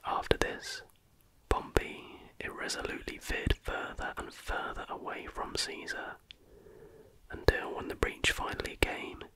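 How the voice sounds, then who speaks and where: Someone whispering an asmr reading, no voicing at all, crisp sharp breathy sound, careful and measured. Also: low-quality recording. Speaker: reader.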